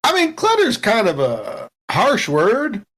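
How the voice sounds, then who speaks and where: A man's voice: high voice, wildly swooping pitch, takes it slowly, a bit bright, breathy edge, voice pushed up, full character voice. A man voicing Bosco, a laid-back, sloppy space trucker with a folksy, drawling manner. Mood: easygoing.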